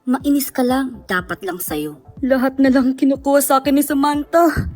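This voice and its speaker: A man's voice: extremely high pitch, moderate pace, darkish tone, faint music behind. Man as a bitter, scheming schoolgirl rival. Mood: vengeful. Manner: melodramatic, bitter. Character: resentful, scheming schoolgirl rival plotting revenge.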